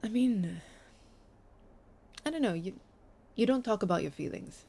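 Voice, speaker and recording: An actress playing a concerned lover in an audio drama, speaking in a natural, conversational way that gently probes and needles. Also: female voice, medium pitch, lively intonation, unhurried, creaky, slightly rough, full character voice. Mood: hurt, pressing. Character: concerned, probing female lover of a withdrawn musician.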